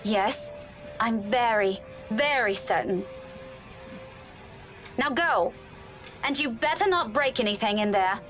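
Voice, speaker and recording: A woman's voice: a little high, animated, slow pace, pauses often, a bit bright. A woman voice acting an insistent, easily irritated young woman in a horror visual novel game, with music behind her. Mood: tense, exasperated.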